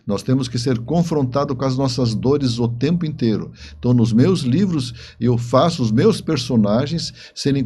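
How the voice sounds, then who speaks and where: A man with a dark, very relaxed voice at an average pitch. He talks as a guest in conversation, telling stories and repeating short phrases to drive them home. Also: a little hiss or hum. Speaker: interview guest, novelist. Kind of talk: conversational storytelling.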